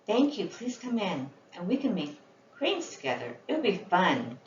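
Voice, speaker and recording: In a roomy, slightly muffled recording, a gentle little storybook girl speaks politely and eagerly, acted out by a woman reading aloud. Faint noise sits behind the voice. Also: medium pitch, very animated, measured pace. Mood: welcoming.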